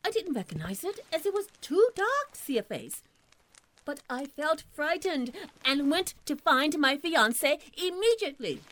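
A woman's voice: sits high, very animated, moderate pace, a bit bright. A woman playing a timid, polite, anxious young lady of the court in an audio drama.

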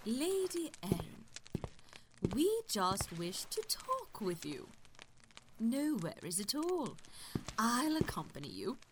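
A motherly, fussing duchess, played by an actress in an audio drama. Her fairly high voice swoops dramatically and takes its time, over faint noise. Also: huge pitch swings, slow, long pauses, heavily acted. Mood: gently chiding. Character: motherly, fussing duchess who manages her household kindly.

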